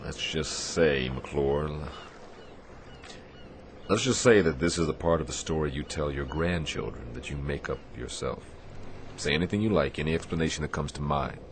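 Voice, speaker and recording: An actor playing a firm, compassionate but weary Navy captain in a radio drama, speaking with deliberate, commanding emphasis. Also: male voice, rumbling low. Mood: weary, somber. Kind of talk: dramatic radio theatre acting. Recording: faint background noise.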